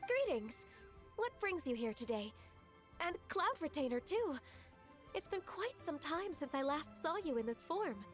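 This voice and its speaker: A polite hardworking secretary in a game, soft and earnest, kinda high voice. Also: female voice, big pitch swings, a little slow, faint music behind. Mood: warm. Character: dutiful young half-immortal secretary, polite and earnest. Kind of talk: video game voice acting.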